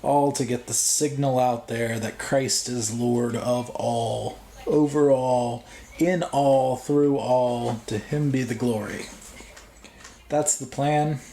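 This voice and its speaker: A man earnestly introducing his show, speaking in measured phrases and building lists one clause at a time. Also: mid-range voice, steady tone, dark tone. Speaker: vlog host. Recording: echoey room, faint background noise.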